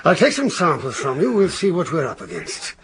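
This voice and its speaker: An actor playing a breezy, offhand doctor, casual and wryly reassuring, in a radio drama. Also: male voice, a little high, huge pitch swings, fairly quick, slight background noise.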